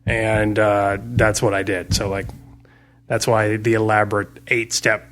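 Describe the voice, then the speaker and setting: Bright-voiced man chatting casually about gaming. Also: lowish voice, level tone, measured pace, faint music behind. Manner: informal, slangy, thinking aloud. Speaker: podcast guest, tabletop game master. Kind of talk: casual chat explaining his game campaign design.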